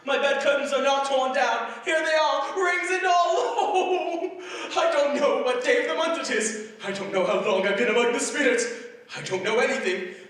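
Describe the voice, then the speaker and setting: A man acting out a remorseful old miser in a radio play. His high voice echoes in a big hall, heard from a distance. He pleads and exclaims with stagey force. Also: moderate pace, a bit bright. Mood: astonished, then joyful. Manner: pleading and theatrical. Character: old male miser, remorseful then overjoyed. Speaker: Scrooge. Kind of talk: 1940s style radio drama acting. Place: theater stage.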